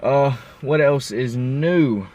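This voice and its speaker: A man's voice: medium pitch, takes it slowly, lo-fi sound, slight background noise. A young adult man talking casually in an unscripted car vlog, explaining things in a folksy, rambling way. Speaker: car vlogger. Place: inside a parked car.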